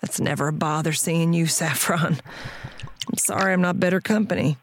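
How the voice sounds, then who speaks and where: A woman's voice: on the low side, normal speed, lightly acted. A woman playing a world-weary, plain-spoken radio tinkerer with a folksy frontier manner in an audio drama. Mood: weary, defeated.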